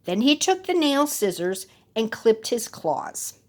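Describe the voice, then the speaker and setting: A woman reads a children's story with playful, dramatic emphasis. Her voice is fairly high and very animated, rising and falling expressively. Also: takes it slowly.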